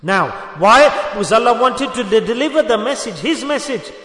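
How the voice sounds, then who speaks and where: High-voiced male preacher in echoing hall. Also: expressive, normal speed, sharp tone. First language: Urdu. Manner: exhorting, rhetorical questions and repetition. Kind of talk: sermon. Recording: echoey hall with long reverb.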